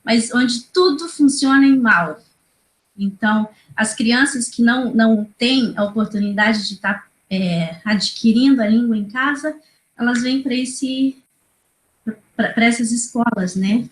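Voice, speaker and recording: A woman's voice: fairly high pitch, measured pace. A woman in her thirties from Brazil, a native Kaingang speaker, talking as a guest educator on a video call, hesitant with many fillers and tag questions. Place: small indoor room. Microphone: laptop video call. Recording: roomy video call audio.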